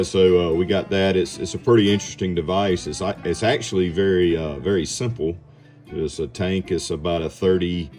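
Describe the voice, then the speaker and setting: A man's voice: deep voice, warm tone. A man chatting casually over amateur radio in a laid-back, folksy manner. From southeast Georgia, United States. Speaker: amateur radio operator and TV survival personality.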